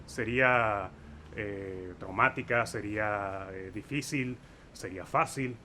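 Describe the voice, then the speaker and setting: Man in his thirties, sharp bright voice, reflective narrator, faint traffic behind. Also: fairly low pitch. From Venezuela, Caracas. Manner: reflective, thinking aloud with filler pauses. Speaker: filmmaker and interviewer. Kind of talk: personal documentary narration.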